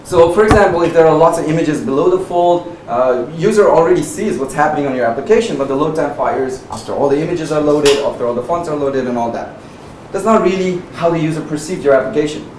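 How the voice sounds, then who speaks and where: A man from Nepal, in his thirties, speaking at a tech meetup in a relaxed, chatty way with lots of "uh" and "right?". His voice sits at a middle pitch and carries the echo of a big room. He sounds a good way from the mic, with clear background noise. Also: fast talker. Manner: conversational, frequent "uh" and "right?" tags. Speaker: conference speaker. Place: meetup venue, audience present. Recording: echoey room from far off, noisy.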